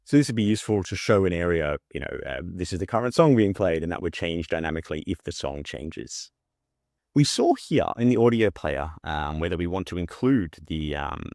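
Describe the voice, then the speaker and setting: A man presenting a software tutorial as a casual screen-share walkthrough, talking informally and thinking aloud as he demonstrates. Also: deep voice, no echo, studio quality.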